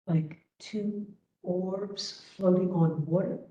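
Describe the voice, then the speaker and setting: A woman leading a guided meditation as instructor, gently and unhurriedly, leaving long pauses between phrases. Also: fairly low pitch, steady tone, lo-fi sound. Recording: roomy, distant webinar audio.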